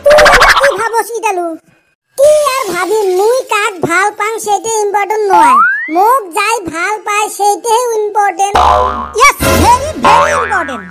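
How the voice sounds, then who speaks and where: An extremely high, squeaky cartoon village lad with an artificially raised voice. He is cheeky and argumentative, bantering over loud music. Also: expressive, normal speed. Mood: mock-earnest. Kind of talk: comic cartoon dialogue.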